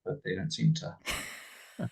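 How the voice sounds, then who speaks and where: A man playing a reserved, baffled, dry-witted character in a tabletop game, halting and polite with a gravelly, husky voice. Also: darkish tone, lightly acted, low-quality recording. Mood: dry, tentative.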